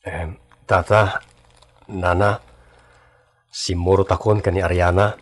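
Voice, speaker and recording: An actor with a Filipino Ilocano accent playing a polite, determined suitor of twenty-three in a radio drama, with a husky voice. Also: male voice, low-pitched, flat delivery, slow pace. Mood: respectful, pleading. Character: earnest 23-year-old suitor, polite and determined.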